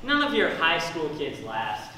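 A man's voice: fairly high pitch, wildly swooping pitch, unhurried, pushed and bright, exaggerated voice. A young man playing a jaded, dismissive supermarket assistant manager in an amateur stage comedy. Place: theatre stage, distant camera. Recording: echoey stage from far off, faint noise.

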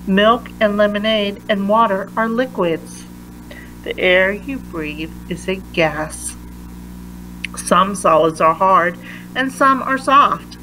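Female read-aloud narrator for a kids' science book, unhurried and emphatic, faint noise behind. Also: mid-range voice, bright, forceful tone, low-quality recording. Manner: deliberate, emphatic phrasing for young listeners.

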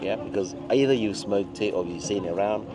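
A man's voice: fairly low pitch, brisk, background noise. A middle-aged man hosting a casual, chatty lunch conversation, repeating phrases for emphasis. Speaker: host, cigar retailer. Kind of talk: casual lunch chat on camera. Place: outdoor restaurant table by stone wall. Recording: music behind the talk.

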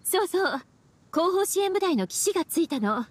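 Sultry witch librarian, languid big-sister teasing, fairly high voice. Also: big pitch swings, moderate pace, no echo. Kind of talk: Japanese game dub.

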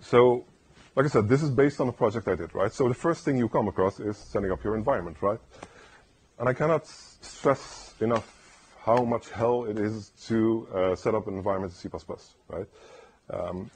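A man giving a casual technical talk at a conference, rambling and tagging "right?" onto his points in a soft, soothing voice. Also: on the low side, moderate pace, husky. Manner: rambling, keeps asking "right?". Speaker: conference speaker. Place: lecture room.

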